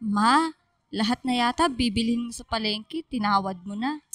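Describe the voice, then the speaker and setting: A woman's voice: fairly high pitch, normal speed, a bit bright, dry recording, clean studio sound, natural voice. A woman playing a caring, playful young Filipino daughter in a radio drama, with a girlish manner.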